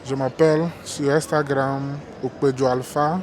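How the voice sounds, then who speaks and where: A man from Togo, interviewed outdoors, tells his story haltingly with many restarts and keeps asking "tu vois, non?". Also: mid-range voice, flat delivery, a little mellow. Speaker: interview guest, migrant worker. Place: outdoors on a busy street.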